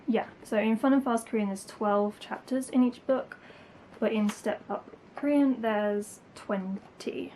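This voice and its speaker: A woman's voice: medium pitch, mellow, a little hiss or hum, rough recording. A young woman from England, chatting casually to camera as a language-learning vlogger, full of likes and ums. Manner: chatty, lots of likes and ums, light laughs.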